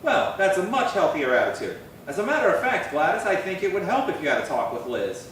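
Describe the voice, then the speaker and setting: A man's voice: high voice, big pitch swings, fairly quick, a bit bright. A man playing an earnest, devoted husband in an old-time screwball radio comedy, speaking with emphatic, theatrical delivery. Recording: very echoey, distant stage recording, faint noise.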